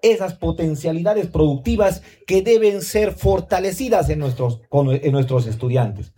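A man's voice: a little high, moderate pace, warm tone. A man speaking at a community school anniversary, earnestly asking the authorities for support, often adding tag questions. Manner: earnest, pleading, repeats phrases with tag questions. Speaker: school director giving a speech. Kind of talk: formal public speech at a community event.

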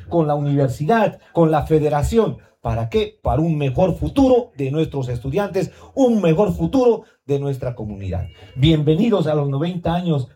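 A man addresses a community gathering with a mellow, soft-edged voice. He speaks earnestly and pleadingly, repeating phrases and checking in with the crowd through tag questions. His pitch sits a little above the average. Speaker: school director giving a speech. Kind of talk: formal public speech at a community event.

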